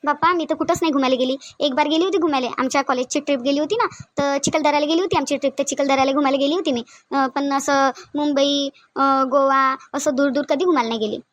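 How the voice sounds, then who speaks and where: A woman's voice: high voice, steady tone, quick pace, hardly any pauses, no echo, natural voice. A woman voicing a shy, naive young newlywed wife in a cartoon, fretful and exclaiming, with a Varhadi Marathi accent from Vidarbha. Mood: curious. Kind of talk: cartoon dialogue acting in colloquial dialect.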